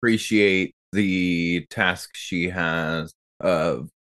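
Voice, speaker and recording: A man's voice: deep voice, steady tone, drawn-out words, fairly bright tone. A man chatting casually as a podcast panelist, speaking appreciatively. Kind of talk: casual chat.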